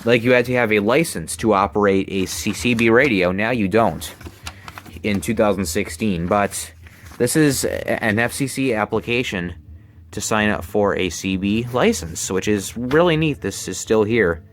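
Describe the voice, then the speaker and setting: Low-voiced young man, halting casual talker. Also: expressive, slight background noise. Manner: halting, repeats words. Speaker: hobbyist presenter. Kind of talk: casual product show-and-tell vlog. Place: bedroom, indoors. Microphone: handheld camera, close behind it.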